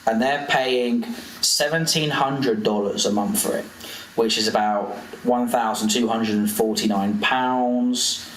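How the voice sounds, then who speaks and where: A man's voice: mid-range voice, a little slow. A British man comments casually on a live stream, swearing freely. His voice comes through with roomy echo and a little background noise. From United Kingdom.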